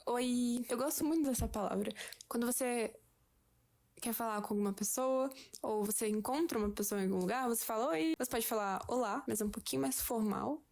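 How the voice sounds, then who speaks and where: A young Brazilian woman giving a slow, patient, playful lesson at home to a friend. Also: a little high, vocal fry, a little mellow. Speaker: language teacher for a friend. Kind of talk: casual home-made lesson.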